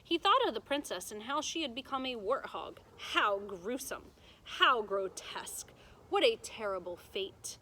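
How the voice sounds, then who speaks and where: A woman reads a story to young students like a teacher. Her voice is fairly high and bright, and her delivery is emphatic and explanatory. A little noise is audible behind her. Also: sing-song, measured pace, poor audio. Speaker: teacher reading aloud to students. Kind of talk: storybook read-aloud with simple explanations for children. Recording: noticeable background noise.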